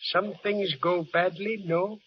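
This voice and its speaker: A humble old Swiss landlord in a radio play, his voice fairly high for a man. He talks in simple, fatherly, heard through a dull, slightly noisy vintage recording. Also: steady tone, takes it slowly. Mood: gentle, kindly. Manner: simple broken phrasing, gently fatherly. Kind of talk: 1940s radio drama acting.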